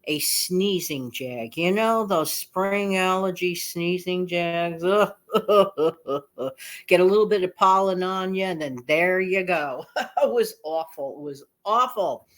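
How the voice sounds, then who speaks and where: A woman's voice: fairly low pitch, takes it slowly. Slightly nasal, chatty woman host. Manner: emphatic, repeats phrases for effect. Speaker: channel host. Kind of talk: casual chatty vlog.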